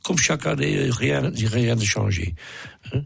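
A man's voice: medium pitch, expressive, unhurried, vocal fry, breathy edge, no echo. A man with a cold, a radio interview guest who speaks hesitantly with many restarts. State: has a cold. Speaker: association president, food truck owner.

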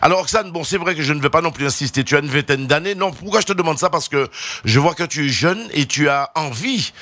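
A man hosting an informal interview show, talking in a wordy, halting way and often repeating his phrases. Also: a little high, animated, sharp tone, no echo. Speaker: radio show host.

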